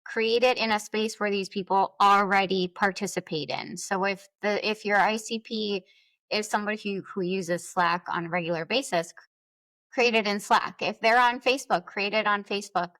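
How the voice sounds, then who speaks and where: A woman's voice: medium pitch, fairly even intonation, normal speed, a bit bright, dry recording. A woman speaking as a podcast guest, telling experiences and giving advice in an emphatic, conversational way. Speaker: podcast guest, influencer marketer.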